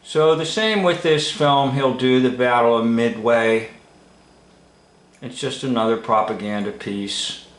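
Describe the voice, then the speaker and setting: Slow-talking man in an echoey room. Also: medium pitch. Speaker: video host and commentator. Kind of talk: informal unscripted commentary on an old film. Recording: roomy, echoing room sound.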